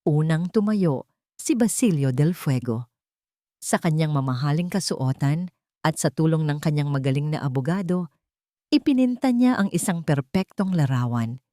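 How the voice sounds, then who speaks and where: A woman's voice: deep voice, animated, moderate pace, warm tone, no echo, clean studio sound. A woman reading a scripted, dramatic romance story as its narrator.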